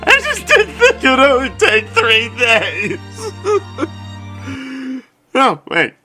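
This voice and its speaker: A man playing a doting, flustered scientist father in an audio drama, speaking in a halting stammer. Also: extremely high pitch, wildly swooping pitch, sharp tone, heavily acted. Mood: wistful, flustered. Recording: music under the voice.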